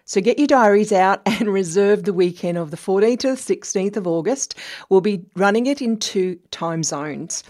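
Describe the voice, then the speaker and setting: A woman's voice: lowish voice, a little mellow. An Australian woman in her fifties hosting her own podcast, talking in a chatty, conversational way as if over coffee. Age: 50s. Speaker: podcast host. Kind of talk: casual solo podcast monologue.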